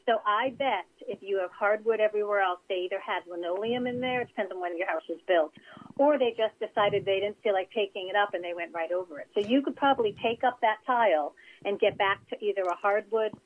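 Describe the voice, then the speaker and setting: A woman's voice: mid-range voice, a little fast. A woman interior designer giving chatty, practical advice as a guest in an interview. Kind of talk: casual interview over phone. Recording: tinny phone line.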